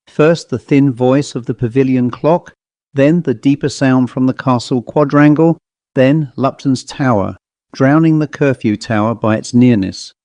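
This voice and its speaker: A man narrates a ghost story in a measured, literary way, his pitch in the middle range for a man. The recording is very dry, with next to no room sound. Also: a little mellow, no echo. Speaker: audiobook narrator.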